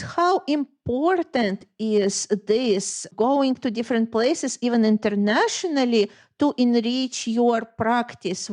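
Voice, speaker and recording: A woman with a Lithuanian accent hosting an interview, asking questions in a measured way with hesitant fillers. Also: fairly high pitch, darkish tone. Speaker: podcast host. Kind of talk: interviewing a guest.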